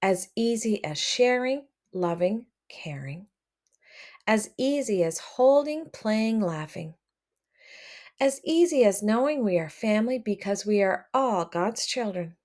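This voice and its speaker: A woman reading a picture book aloud to children, expressive and addressing them directly as she comments along. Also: medium pitch, measured pace. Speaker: read-aloud storyteller for children.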